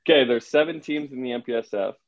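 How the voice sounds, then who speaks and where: A man interviewing a coach for a sports radio show, with playful, lively questions. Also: medium pitch, fast, no room sound, muffled recording.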